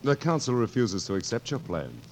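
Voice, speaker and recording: A man's voice: medium pitch, sing-song, moderate pace, close to an everyday voice. An actor playing a sly, menacing king, drawling with mock forgetfulness and gloating in 1940s radio drama style. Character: sly, sardonic, menacing king toying with his victim. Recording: faintly noisy vintage 1940s radio broadcast.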